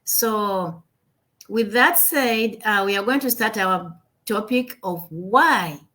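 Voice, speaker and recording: A woman's voice: mid-range voice, slow, pushed and bright. Ugandan-born woman hosting a livestream, chatty, lots of uh and repeats, home mic. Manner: upbeat, repeats words, frequent fillers. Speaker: webinar host. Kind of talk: casual livestream chat. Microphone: home computer mic on a video call.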